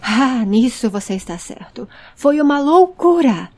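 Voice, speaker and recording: A woman's voice: sits high, very animated, unhurried, warm tone, a little hiss or hum, heavily acted. A woman voicing a hot-tempered, protective male traveller in an audio story, scolding in an angry, emphatic way. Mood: exasperated.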